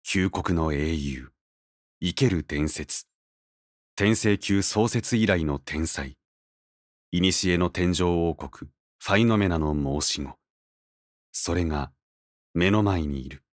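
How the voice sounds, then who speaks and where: A deep male voice, an actor's, narrating a fantasy audio drama slowly and gravely. The delivery is measured and solemn, full of awe, in a dry, close recording. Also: no room sound. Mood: solemn, awed. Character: solemn male narrator, awed by a legendary hero.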